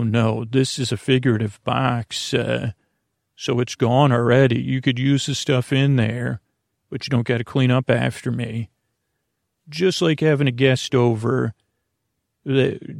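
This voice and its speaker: A man with a creaky voice hosting a bedtime podcast, giving a soothing, meandering monologue with hesitant, self-interrupting asides. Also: medium pitch, steady tone, moderate pace, a little mellow, no room sound, studio quality. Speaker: podcast host.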